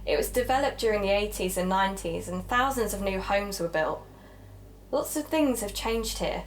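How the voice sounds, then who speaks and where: A woman's voice: mid-range voice, animated, normal speed, a little hiss or hum, natural voice, poor audio. A woman playing a keen young amateur podcaster in an audio drama, chatty and explanatory in manner. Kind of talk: naturalistic audio drama dialogue and narration.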